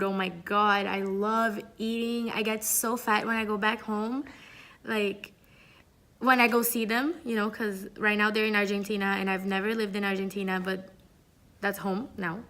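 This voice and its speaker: Young woman from Brazil, student, mid-pitched voice: playful, chatty, loose to-camera talk. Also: little pitch movement, normal speed, fairly bright tone. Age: young adult. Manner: chatty and playful, laughs often, many "like" fillers. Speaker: student interviewee. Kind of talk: casual chat to camera. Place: Paris.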